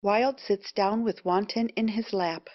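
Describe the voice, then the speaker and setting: A woman's voice: mid-range voice, steady tone, a little slow. A woman narrator reading stage directions for a scripted play. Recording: muffled lo-fi audio.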